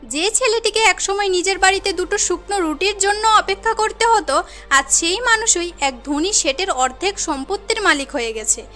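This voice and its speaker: A woman's voice: extremely high pitch, normal speed, sharp tone, faint music behind. A woman narrating a moral cartoon tale in an earnest, dramatic storytelling manner.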